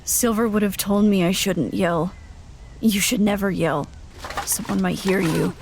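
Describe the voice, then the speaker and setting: A woman playing an uneasy young wanderer, narrating in the first person for an audio drama, plain and halting. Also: medium pitch, steady tone, heavy background noise, close to an everyday voice. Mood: wary, recounting.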